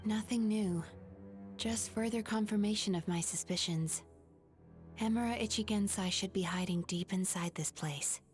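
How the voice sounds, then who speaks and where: A woman playing a composed, perceptive young investigator in an anime game, soft, measured and serious. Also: mid-range voice, soft background music, natural voice. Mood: guarded, serious. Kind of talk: anime video game voice acting.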